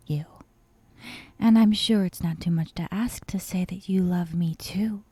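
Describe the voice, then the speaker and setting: A woman voicing a possessive, dominant young yandere kidnapper in an ASMR roleplay, softly coaxing and sweetly menacing, often slipping into whispers. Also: lowish voice, no echo. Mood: possessive, adoring. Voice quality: soft, breathy, often dropping into whispers. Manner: slow, teasing, coaxing with veiled threats. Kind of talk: ASMR roleplay, intimate monologue to the listener. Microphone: close, dry microphone.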